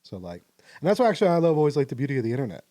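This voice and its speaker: Cohost guy talking fast, dark mellow voice, rambly and encouraging. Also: mid-range voice, big pitch swings, no room sound. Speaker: podcast co-host. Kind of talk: casual podcast conversation.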